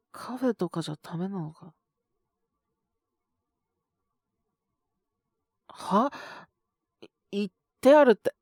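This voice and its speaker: A woman's voice: mid-range voice, a little mellow, no room sound, clean studio sound, full character voice. A woman voicing a sick, tired young male teacher in an audio drama, brusque and sighing, with a weary mutter. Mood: reluctantly caring. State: feverish, coughing. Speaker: voice actor. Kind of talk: Japanese situation-voice audio drama.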